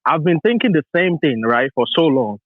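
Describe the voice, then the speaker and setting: A man's voice: fairly high pitch, big pitch swings, moderate pace, dry recording. A man in his thirties from Nigeria, calling into a talk show and rambling with many restarts. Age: 30s. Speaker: caller. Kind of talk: phone call to a talk show. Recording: tinny phone line, dry.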